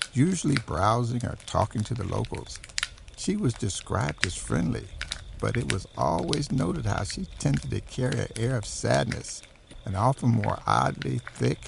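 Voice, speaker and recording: Man narrating with heavy vocal fry. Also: mid-range voice, background noise. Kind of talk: scripted documentary-style narration of a horror story.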